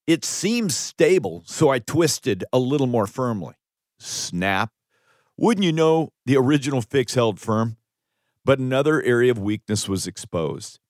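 A man's voice: mid-range voice, very animated, a little slow, a little mellow, no echo, studio quality. An American man in his fifties reading his own audiobook as a pastor and author, with an earnest, emphatic preacherly delivery. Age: 50s. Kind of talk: audiobook narration. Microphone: close studio microphone.

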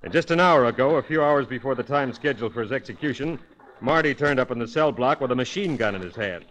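A man's voice: mid-range voice, animated, fast, a bit bright, highs cut off, natural voice. A man with an American accent playing a brusque, harried police inspector, clipped and authoritative, in a 1940s radio drama. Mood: stern, urgent.

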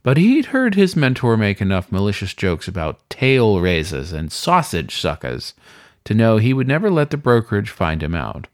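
Animated male storyteller, dry sound. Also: lowish voice, moderate pace, no echo. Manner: expressive, storytelling. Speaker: story reader. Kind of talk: scripted narration, dramatic audiobook reading.

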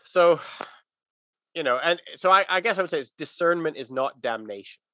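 A man's voice: fairly high pitch, animated, normal speed, fairly bright tone, no room sound. A man talking through religious ideas in a casual, conversational but emphatic teaching manner.

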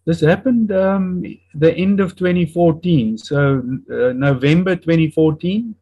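A man in his fifties whose first language is Afrikaans, speaking with an Afrikaans accent and earnestly recounting a personal testimony in a halting way, often searching for words and restarting. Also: a little high, breathy edge, dry recording, highs cut off. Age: 50s. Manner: earnest and reflective. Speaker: interview guest.

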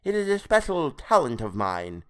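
A man's voice: mid-range voice, huge pitch swings, moderate pace, a bit bright, clean tone, voice pushed up. A man voicing a flabby, sinister toad-faced sea creature in an audiobook, mocking, gloating and theatrical. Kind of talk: dramatised audiobook character voice.

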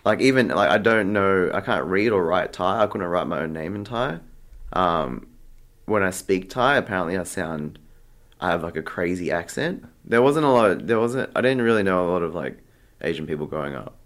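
An Australian man chatting casually as an interview guest, telling stories in a rambling, halting way full of filler "like". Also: deep voice, animated, a bit bright. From Australia, Sydney. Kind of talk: casual anecdotal chat.

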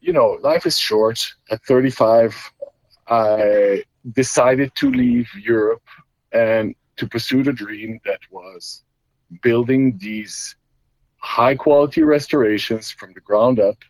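A man's voice: medium pitch, slow pace, no echo, low-quality recording. A middle-aged man from Belgium, a guest explaining his custom Jeep builds in casual talk, with many fillers and restarts.

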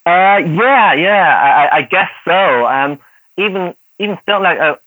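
A man's voice: high voice, animated, a little slow, pushed and bright, no echo, low-quality recording. A man in his thirties with a light, mixed Irish accent, whose first language was Cantonese. He is a podcast guest who rambles through his answers with many fillers and laughs often. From Dublin, Ireland. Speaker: guest, actor and martial artist. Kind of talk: casual podcast interview.

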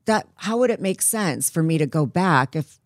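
A woman guest in a casual interview, speaking haltingly with many restarts, frank and sometimes swearing. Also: fairly low pitch, expressive, no room sound, clean studio sound.

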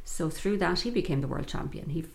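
A middle-aged woman with a Dublin Irish accent, telling stories as an interview guest, reflective and often pausing on fillers. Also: sits low, gravelly, a little hiss or hum. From Ireland, Dublin north side. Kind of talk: conversational interview storytelling.

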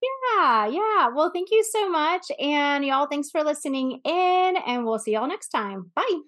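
A woman's voice: high-pitched, big pitch swings, a little slow, a bit bright. A woman hosting a podcast, chatting enthusiastically with a guest and laughing often. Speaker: podcast host. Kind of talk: casual podcast interview.

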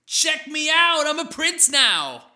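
A woman voicing a plucky, naive boy hero in an earnest, excitable way for a children's comic story. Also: high-pitched, slow pace, full character voice. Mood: cheerful.

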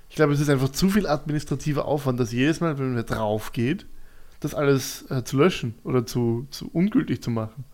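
A man's voice: mid-range voice, brisk, darkish tone. An Austrian man with an Austrian accent, chatting casually and teasing the others with jokes. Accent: Austrian German. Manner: joking, teasing, acts out quoted lines. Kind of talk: casual chat.

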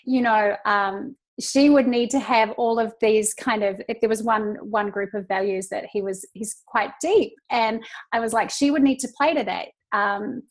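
A woman with a New Zealand accent talks with a slightly high voice in a relaxed podcast chat. She reflects out loud and sprinkles in fillers as she goes. She sounds like a woman in her thirties. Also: moderate pace, few pauses. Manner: reflective, chatty, many fillers. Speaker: podcast guest, psychologist. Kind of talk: casual podcast conversation.

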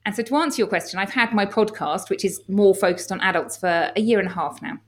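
A woman's voice: medium pitch, fast talker. A middle-aged woman with an English accent, a former children's doctor, speaking as a guest on a podcast and explaining things at length in an upbeat, articulate way. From England. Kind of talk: podcast interview.

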